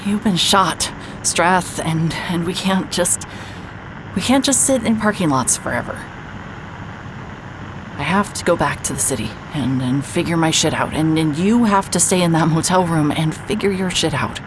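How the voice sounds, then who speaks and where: A woman's voice: low voice, moderate pace, noisy background, close to an everyday voice. An actress playing a weary, wry, guarded woman investigator in an audio drama, speaking in a hesitant, dry way. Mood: torn, sad.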